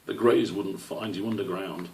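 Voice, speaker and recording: A man's voice: sits low, steady tone, moderate pace, darkish tone, echoey room, recorded from a distance, lightly acted, poor audio. A man voicing a plain-spoken, matter-of-fact young male badger in a storybook. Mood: dismissive.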